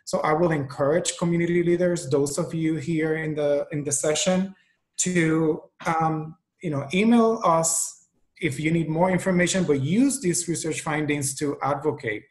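A man from Puerto Rico, Spanish his first language, answers panel questions in a fairly high voice. He talks over a video call and thinks aloud as he goes, pausing and restarting. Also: rough recording. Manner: thoughtful, with frequent ums and restarts. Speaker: researcher on a webinar panel.

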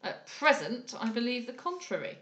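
A woman voicing a dry, sarcastic gentleman with an ironic sneer in an audiobook. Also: on the high side, big pitch swings, moderate pace, reverberant, muffled recording. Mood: sardonic. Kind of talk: dramatised audiobook character voice.

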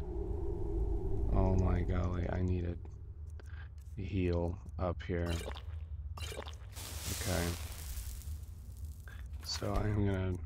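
Guy with a gravelly low voice doing laid back game commentary, slow talker, noisy background. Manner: muttering, talking to himself, playful. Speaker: gameplay host. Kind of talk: casual improvised gameplay commentary. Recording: clear background noise.

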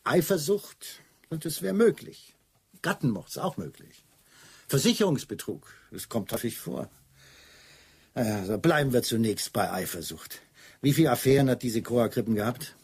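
Radio drama casting: shrewd chief inspector, heavily husky, medium-pitched, dryly ironic. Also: male voice, big pitch swings, normal speed, darkish tone, heavily acted. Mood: wry musing. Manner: dry, ironic, probing questions. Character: wry, shrewd male chief inspector of Scotland Yard. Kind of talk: German radio play acting.

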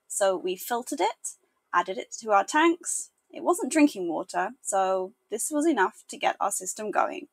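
A woman's voice: on the high side, vocal fry, husky, dry recording. A woman in her thirties narrating a sailing vlog, telling the week's story with upbeat asides. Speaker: sailing vlogger. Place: boat cabin with wooden panels.

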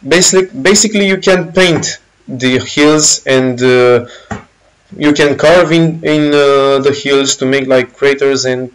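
A man with a Romanian accent casually walking through a game engine's features, pausing often with fillers and correcting himself. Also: medium pitch, low-quality recording. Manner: halting, many fillers and self-corrections. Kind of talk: casual software walkthrough.

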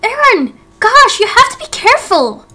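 A woman voicing an excitable, caring young sailor in a game roleplay, speaking earnestly and emphatically. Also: very high voice, moderate pace, full character voice. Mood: astonished. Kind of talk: Minecraft roleplay voice acting.

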